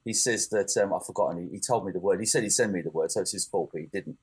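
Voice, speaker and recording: A man's voice: sits low, fast, slightly rough. A man co-hosting a podcast, talking casually and hesitantly, with lots of fillers and self-corrections. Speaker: podcast co-host. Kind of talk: casual chat.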